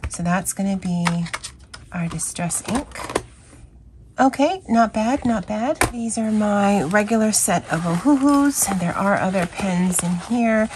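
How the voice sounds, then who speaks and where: A hoarse woman with a scratchy voice hosting a chatty, rambling coloring vlog. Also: medium pitch. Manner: chatty, rambling, with fillers and self-corrections. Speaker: craft channel host. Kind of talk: casual coloring vlog chat.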